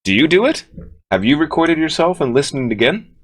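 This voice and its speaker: A man with a low, deep bass voice teaches in a conversational way, speaking deliberately and encouragingly as he thinks aloud. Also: very animated.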